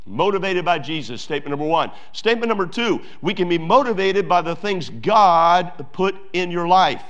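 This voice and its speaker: A man with a somewhat high voice delivers a sermon to his congregation. He preaches with emphasis, repeating key phrases and stopping for fillers as he goes. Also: animated, normal speed, a bit bright, dull audio. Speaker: pastor.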